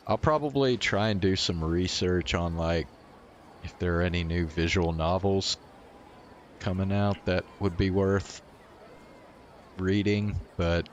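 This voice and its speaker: A man's voice: low voice, a little hiss or hum. A man chatting casually to viewers as a game streamer, musing hesitantly and trailing off. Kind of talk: casual livestream chat to viewers. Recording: game music behind the voice. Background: video game music.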